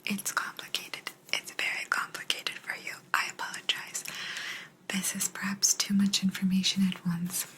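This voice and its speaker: A woman voicing an aloof, secretive alien abductor in a soft, breathy, unhurried whisper for an ASMR sci-fi roleplay. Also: medium pitch, monotone, lightly acted, poor audio. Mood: patient, explaining. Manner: unhurried, hushed, personal attention. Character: aloof female alien abductor, calm, secretive, gently apologetic.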